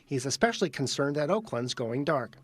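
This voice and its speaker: A man reporting live from outdoors for a local television newscast, in a crisp, measured news delivery. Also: mid-range voice, big pitch swings, no echo. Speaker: field reporter. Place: outdoors on location.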